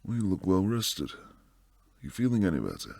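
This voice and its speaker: A man acts out a protective bodyguard boyfriend in an intimate ASMR roleplay. His voice is very deep and gravelly, with a slow delivery that slides into hushed whispers. He sounds stern and weary with tenderness underneath, close on a dry microphone. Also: big pitch swings, dry recording, heavily acted. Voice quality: low, gravelly, breathy.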